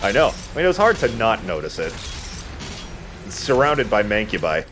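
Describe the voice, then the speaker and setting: Low-pitched, animated man doing gaming commentary. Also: moderate pace, heavy background noise. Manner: jokey, swears casually. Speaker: let's play host playing the game. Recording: game music behind the voice. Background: video game music.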